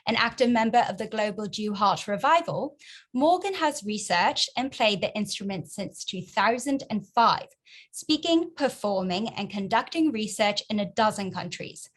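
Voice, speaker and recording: A woman moderating a panel, reading out guest introductions in a measured, formal way. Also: mid-range voice, animated.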